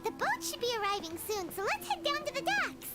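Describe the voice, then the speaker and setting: A woman's voice: sing-song, a little fast, heavily acted. A woman voicing a perky, chatty, childlike fairy companion in a video game. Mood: cheerful. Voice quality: squeaky, childlike. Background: loud game music.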